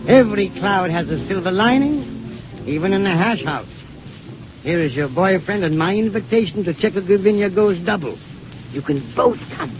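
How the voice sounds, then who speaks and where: An actor playing a jolly, boastful European grand duke in 1930s radio musical comedy. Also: male voice, high voice, lively intonation, normal speed. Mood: genial, avuncular. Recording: dull 1932 radio recording, loud music.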